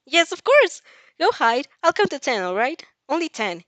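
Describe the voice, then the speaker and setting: A woman voicing a bubbly, affectionate teenage girl in a fan story reading, gushing and chirping with excitement. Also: sits high, normal speed, no echo, pitched above the performer's own voice. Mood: cheerful, eager.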